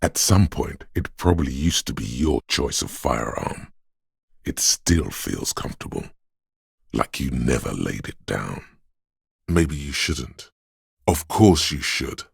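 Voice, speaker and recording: A man voicing a cool, sly, goading inner voice in a video game, narrating in the second person with deliberate, savored words. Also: expressive, dry recording, clean studio sound, exaggerated voice. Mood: dry, musing. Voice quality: very deep, gravelly, husky.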